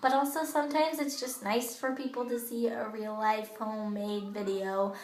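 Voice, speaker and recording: A woman's voice: fairly high pitch, little pitch movement, moderate pace, a little mellow. A woman with a childish-sounding voice gives a chatty, casual training talk to her sales team, full of ums, recorded on an old smartphone in her bathroom. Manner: chatty, with many ums and you-knows. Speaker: direct-sales makeup team leader. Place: home bathroom. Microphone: old smartphone camera. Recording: echoey bathroom on a phone.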